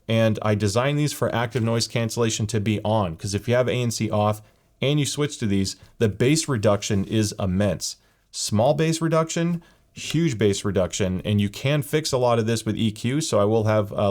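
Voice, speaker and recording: A man with a lowish voice walks through a product review in a casual, explanatory way, with the odd "uh" along the way. He speaks into a headset microphone indoors, and the sound is dry and close. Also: darkish tone, no echo. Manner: casual explanatory, frequent fillers. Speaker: tech reviewer. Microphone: headset microphone under test.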